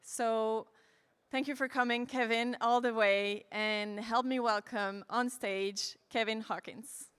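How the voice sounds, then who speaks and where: A woman's voice: a little high, steady tone, slow pace, a bit bright, clean tone. A woman hosting an event, introducing a speaker to an audience from a stage. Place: conference stage in a hall. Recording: reverberant hall.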